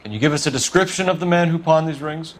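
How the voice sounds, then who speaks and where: A man's voice: on the high side, fast talker, slight background noise, close to an everyday voice. An American man playing an earnest, methodical police detective in a video game, speaking formally and inquisitively. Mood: businesslike.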